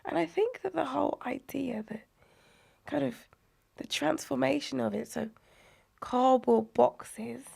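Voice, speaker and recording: A woman, unwell and croaky, animatedly recalling her childhood as a podcast guest, often dropping to a breathy near-whisper. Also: sits high, a little slow, a little mellow. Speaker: guest, inclusive play advocate. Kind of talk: conversational podcast interview.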